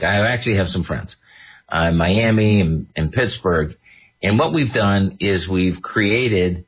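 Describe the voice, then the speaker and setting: A husky, fairly low-voiced man talks through his own radio show, casual and animated, now and then mocking others in a put-on voice. The sound is dull and lo-fi, like an old radio broadcast. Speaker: radio show host and estate attorney.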